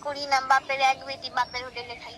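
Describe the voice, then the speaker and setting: A young woman, chatting casually on a phone call with quick, sassy retorts. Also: high voice, little pitch movement, very noisy. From West Bengal, India. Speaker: prank call recipient. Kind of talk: casual chat.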